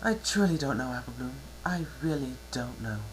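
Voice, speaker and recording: A poised elder princess mare from a cartoon tale , voiced by a reader. She is composed and quietly amused, a bit higher than the reader's natural voice. Faint noise lies underneath. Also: lively intonation, moderate pace, close to an everyday voice, rough recording. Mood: bemused. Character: composed, amused royal older-sister pony princess. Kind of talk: cartoon fan-fiction dramatic reading.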